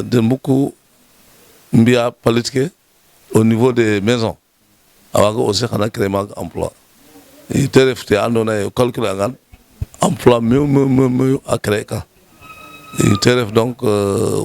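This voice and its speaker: A man speaking at a municipal meeting, presenting a project in a measured way, often repeating words for emphasis and pausing with "euh". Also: medium pitch, creaky, darkish tone, no echo. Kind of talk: formal speech mixing French terms.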